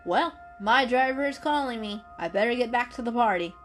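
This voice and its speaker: A woman's voice: a little high, expressive, a little fast, a bit bright, soft background music, voice pushed up. A woman voicing a friendly, reassuring grown male cat who chats and explains kindly in a storybook reading. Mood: cheerful, helpful.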